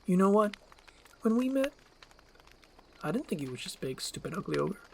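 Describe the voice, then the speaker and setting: A performer voicing a chatty, nosy, excitable male donkey in an acted screenplay reading. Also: medium pitch, normal speed, vocal fry, slight background noise, pitched above the performer's own voice. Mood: indignant.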